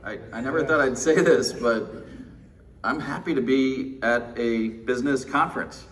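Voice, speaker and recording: A man's voice: mid-range voice, moderate pace, a bit bright, distant mic. A middle-aged man from Texas giving a wry, self-deprecating conference keynote, full of fillers. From Texas, USA. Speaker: keynote speaker at a pension conference. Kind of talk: live conference speech. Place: large windowless conference room. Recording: conference room, speaker away from the mic, faint room noise.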